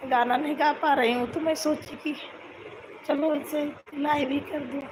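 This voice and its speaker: A hoarse woman with a cold, chatting casually on her live stream from home while lying down, and repeatedly asking viewers to comment. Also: high-pitched, steady tone, measured pace, noisy background. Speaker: YouTube folk singer hosting a live stream.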